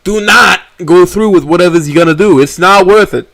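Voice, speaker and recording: A man's voice: high voice, fairly quick, a bit bright, no echo, pitched above the performer's own voice. A man voicing an older male dinosaur who pleads urgently over the phone. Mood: frantic.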